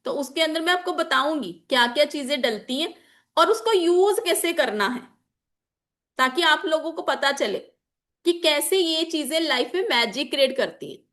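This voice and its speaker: A woman's voice: sits high, expressive, moderate pace, a bit bright. A woman with a sore throat teaches an informal online class, explaining with examples, repeating key phrases and mixing in English terms. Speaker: teacher of an online class on chakras and magical oils. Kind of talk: informal spoken lesson with examples.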